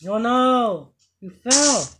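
A woman's voice: fairly high pitch, animated, extremely slow. A woman joining in an improvised game roleplay with short, simple replies. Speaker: co-player in a Minecraft roleplay. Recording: noisy gameplay recording with clicks.

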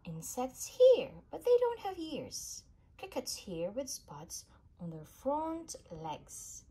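Slow, lively woman reading to children. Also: high-pitched. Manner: animated storybook delivery with emphasis for young listeners. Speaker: reader of a children's book. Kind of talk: expressive read-aloud of a children's science book. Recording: clearly noisy home recording.

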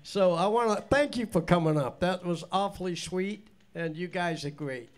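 Older male host with a head cold, high voice, rambling folksy stage patter. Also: moderate pace. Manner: rambling, chatty, folksy with restarts. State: congested from a cold, stuffed-up nose. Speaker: bandleader and show host. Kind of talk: casual on-stage chat to a live audience. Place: small indoor stage studio. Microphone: stand mic on stage.

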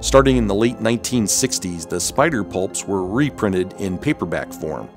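A man's voice: fairly low pitch, expressive, normal speed, soft background music. A man hosting and narrating a scripted documentary-style video.

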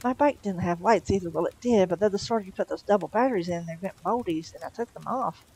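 A woman's voice: medium pitch, fast talker, dark tone, a little hiss or hum, close to an everyday voice. A woman voicing a wary, stubborn young woman, blunt and suspicious.

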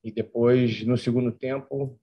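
A man, a football coach, answering press questions in measured, reflective phrases with short pauses at a table mic in a press conference room. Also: medium pitch, fairly even intonation, breathy edge. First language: Portuguese. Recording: dull lo-fi stream audio.

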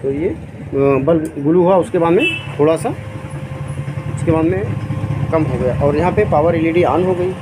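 A man with a somewhat high-pitched voice talks through a repair one step at a time, casual and practical. He sounds like he is working at a bench while he explains. The recording carries a clear hum of fans and machines from the workshop. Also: a little slow, pauses often. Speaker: electronics repair technician. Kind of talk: casual repair tutorial narration.

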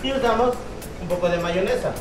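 A man's voice: high voice, fairly quick. A man in his thirties with a Guerrero coast Mexican accent, casually demonstrating cooking as a restaurant cook, often tagging phrases with little questions. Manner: repetitive, punctuated with "eh?" tags and rhetorical questions. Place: open-air beach restaurant and its kitchen. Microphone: camera a few steps away. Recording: roomy, distant camera mic, music underneath.

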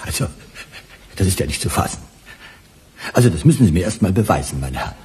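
Indignant male suspect, fairly low, very animated, scoffing, blustering, radio crime drama. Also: fast, slight background noise. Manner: blustering, scornful. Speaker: actor in a radio crime play. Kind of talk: German radio drama dialogue.

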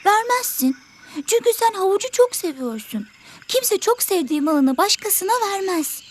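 A woman's voice: very high voice, sing-song, normal speed. A woman voicing a small, selfish, stubborn bird pupil in an educational cartoon, whiny and argumentative. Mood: defiant.

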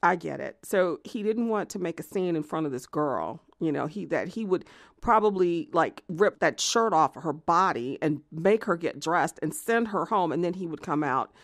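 Actress as a gravelly, volatile jilted woman confessing. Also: lowish voice, animated, normal speed, no echo, studio quality. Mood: self-assuring. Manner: hesitant, filler-strewn rambling that flares into swearing indignation. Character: jilted, obsessive woman confessing vengeful deeds, self-justifying and volatile. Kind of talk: narrated audio theatre monologue, conversational confession.

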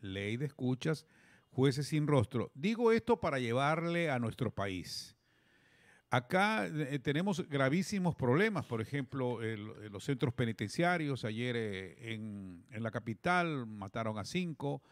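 A man's voice: medium pitch, sing-song, measured pace, no room sound. A middle-aged man hosting a radio studio interview, asking long questions with hesitant fillers. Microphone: studio microphone on a boom.

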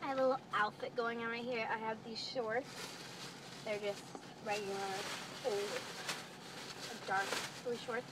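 Young girl, high voice, noisy car. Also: fairly even intonation, measured pace, long pauses. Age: teenager or younger. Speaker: vlogger's sister, co-presenter. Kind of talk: casual chat. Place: back seat of a minivan. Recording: noisy car interior, back seat.

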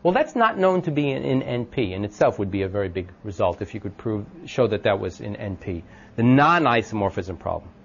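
Loud-voiced man lecturing, lo-fi recording. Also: lowish voice, very animated, brisk, gravelly, husky. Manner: thinks aloud with many ums and restarts, asks the class questions. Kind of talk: informal classroom lecture. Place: classroom.

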